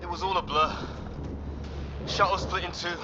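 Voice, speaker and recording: Man playing informal young soldier, higher-pitched voice. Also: steady tone, moderate pace, lightly acted. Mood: wry, shaken. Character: friendly young male security and response specialist, easygoing soldier. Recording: dull, clear background engine and ambient noise.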